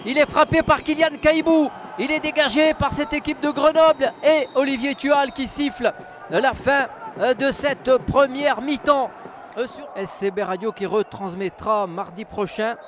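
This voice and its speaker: A man commentating live on football for radio, excitable and partisan, repeating his phrases. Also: very high voice, a little slow. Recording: loud stadium crowd and noise. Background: stadium crowd.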